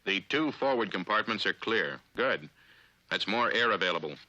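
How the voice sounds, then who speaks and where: Forceful low-voiced American male narrator, 1950s film. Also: expressive, no echo, lo-fi sound. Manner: authoritative, clipped, deliberate.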